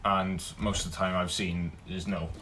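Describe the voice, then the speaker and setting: A young Welsh man hosting a casual livestream, greeting viewers by name and chatting loosely, loud when he greets people and low and mumbled when he muses. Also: little pitch movement, normal speed, a little hiss or hum. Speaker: livestream host. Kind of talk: casual livestream chat reading comments.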